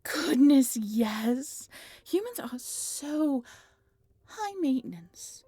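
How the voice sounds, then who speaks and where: A woman's voice: high voice, big pitch swings, very slow, warm tone, no room sound, pitched above the performer's own voice, heavily acted. A woman playing a genteel, possessive vampire mistress in an audio drama, with a saccharine, theatrical and self-pitying manner. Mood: gushing, airy. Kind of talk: scripted roleplay audio drama.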